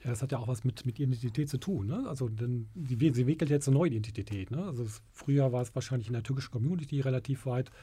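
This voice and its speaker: A man's voice: medium pitch, very fast, hardly any pauses, warm tone, slightly rough. A man, a teacher, leading a classroom discussion and asking questions, speaking hesitantly with many fillers.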